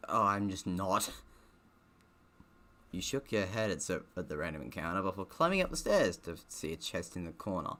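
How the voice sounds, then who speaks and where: A man's voice: sits low, sing-song, normal speed, bright, forceful tone. A man doing let's play commentary, reading visual novel text aloud and breaking off for sarcastic, grumbling asides. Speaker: streamer playing and reading a game aloud.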